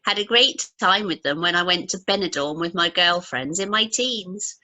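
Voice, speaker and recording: A woman's voice: lowish voice, normal speed, bright, forceful tone. A woman playing a chatty, gushing, frank young mother-to-be in an amateur radio play, with a gossipy manner. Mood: curious, gossipy.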